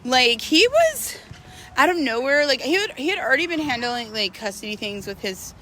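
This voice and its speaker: Bright-voiced young woman chatting over background noise. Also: high-pitched, very animated, moderate pace. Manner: informal and slangy, with frequent hedging asides. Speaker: vlogger telling a personal story. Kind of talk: casual chatty storytelling.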